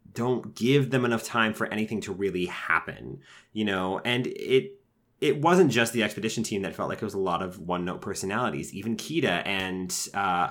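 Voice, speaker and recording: A man with a lowish voice talks through his thoughts in a loose, casual podcast chat. He restarts his sentences often and drops in fillers as he picks at a film's pacing and characters. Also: a bit bright. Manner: conversational, frequent fillers and restarts, mock voices when quoting characters. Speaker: film reviewer.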